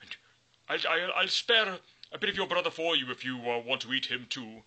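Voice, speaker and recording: A man voicing a greedy, bored, hungry male troll who whines in a high, loud voice. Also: lively intonation, moderate pace. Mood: pleased.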